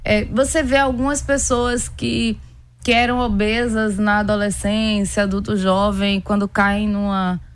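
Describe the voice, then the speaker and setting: A woman's voice: mid-range voice, clear, resonant tone. Northeastern Brazilian woman, explanatory interview guest. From Pernambuco, Brazil. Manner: explanatory, uses everyday examples. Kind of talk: conversational expert interview.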